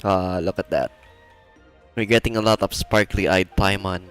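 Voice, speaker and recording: A man's voice: low-pitched, steady tone, a little slow, a bit bright, clean tone. A man streaming a game, casually reacting with amusement and reading dialogue aloud. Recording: faint game music behind.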